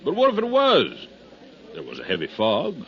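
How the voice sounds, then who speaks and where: A man's voice: fairly high pitch, wildly swooping pitch, moderate pace. An American actor playing a stubborn, sarcastic man in a 1950s radio drama, speaking in a folksy, needling, emphatic way. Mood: sure, theorizing. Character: stubborn, sarcastic man pushing his murder theory. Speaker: supporting actor in a radio detective serial. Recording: muffled 1950s radio broadcast recording.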